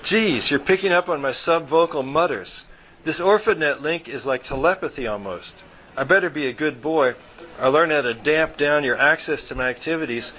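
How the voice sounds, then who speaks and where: A man's voice: fairly high pitch, moderate pace, pushed and bright, slight background noise, low-quality recording. A man voicing a chatty husband who jokes and gossips in a breezy, easygoing way. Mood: joking. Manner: breezy, gossiping, forced chuckles.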